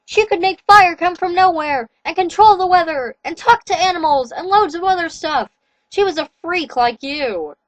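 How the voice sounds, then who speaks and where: A woman voicing an unhinged, ranting male werewolf king who yells his lines slowly and emphatically, with a dry, close sound. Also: extremely high pitch, lively intonation, dry recording, lo-fi sound, voice pushed up. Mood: gloating. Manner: ranting, yelling, slow emphatic.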